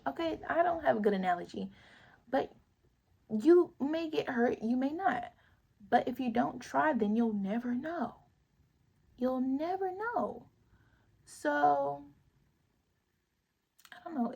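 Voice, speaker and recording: A young woman with a raspy voice, speaking earnestly and emphatically in a casual, slangy opinion vlog. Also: mid-range voice, dark tone. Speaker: vlogger.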